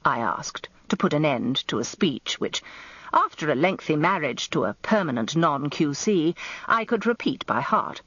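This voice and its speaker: Withering older British wife, lively delivery. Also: female voice, low-pitched, a little slow, slightly rough, no echo, highs cut off, exaggerated voice. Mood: scornful. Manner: dry, withering, imperious. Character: Hilda, sharp-tongued, merciless older wife of a barrister. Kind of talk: radio drama first-person narration and dialogue.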